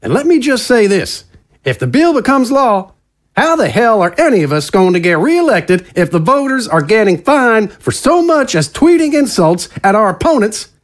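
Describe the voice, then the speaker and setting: Slick, high-pitched male politician. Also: sing-song, moderate pace. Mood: persuasive, insistent. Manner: folksy, smooth, talkative insider. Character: slick, glad-handing male conservative politician. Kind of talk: audio drama dialogue.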